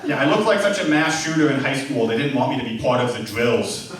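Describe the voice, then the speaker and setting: A young man in his twenties with a speech impediment works through a self-deprecating stand-up set, peppered with "like." His voice sits at an ordinary pitch. The recording is very roomy and distant, with loud crowd noise behind him. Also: a little fast. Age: 20s. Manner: awkward, self-deprecating, frequent "like". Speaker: stand-up comedian. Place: comedy club stage. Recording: echoey room, far from the mic, loud crowd noise. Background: audience laughter.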